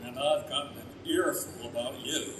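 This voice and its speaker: A man's voice: mid-range voice, moderate pace, close to an everyday voice. An actor playing a sardonic, jealous man muttering wry asides in an old-time radio style stage play. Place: convention room stage performance. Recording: distant, echoey room, loud noise.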